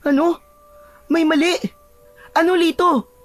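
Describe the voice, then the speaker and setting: A woman's voice: very high voice, steady tone, takes it slowly, no room sound. A woman voicing a frightened, pleading young child in a dramatized story. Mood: panicked, pleading.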